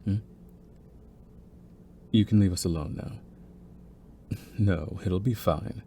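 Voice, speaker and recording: A man playing a young mafia heir in an intimate audio roleplay, smooth-spoken and deliberate, with a cunning, condescending streak beneath his courtesy. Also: low-pitched, warm tone, a little hiss or hum, heavily acted. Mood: polite, feigned sheepishness. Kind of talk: intimate scripted audio roleplay, ASMR acting.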